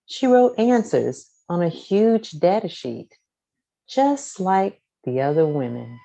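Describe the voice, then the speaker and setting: A woman reads a children's storybook in a low, mellow voice. She takes her time, phrasing each line deliberately and expressively for young listeners, with plenty of rise and fall in her pitch. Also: very animated, vocal fry, muffled recording. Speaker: storybook reader. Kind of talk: scripted children's story read-aloud.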